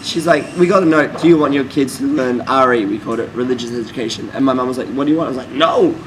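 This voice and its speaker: A man's voice: mid-range voice, fast talker, slight background noise, rough recording. A man, a musician guest telling rambling, laid-back stories in a casual interview.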